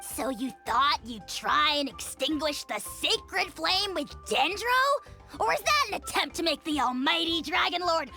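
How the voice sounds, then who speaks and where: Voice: sits high, big pitch swings, unhurried, creaky, pushed and bright, soft background music, heavily acted. An actor voicing a tiny, boastful dragon lord who sarcastically taunts others in a game cutscene. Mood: mocking.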